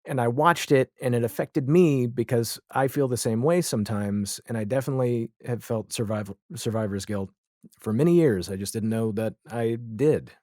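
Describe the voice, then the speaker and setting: A man's voice: medium pitch, moderate pace, darkish tone, no room sound, studio quality. A man hosting a podcast, chatting casually about television in a candid, wry and emphatic way. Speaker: podcast host.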